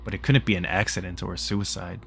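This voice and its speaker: A man's voice: low-pitched, fairly even intonation, fast, gravelly, fairly bright tone, soft background music. A man reading a visual novel aloud as dramatic narration, dropping into casual asides. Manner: acts out character dialogue.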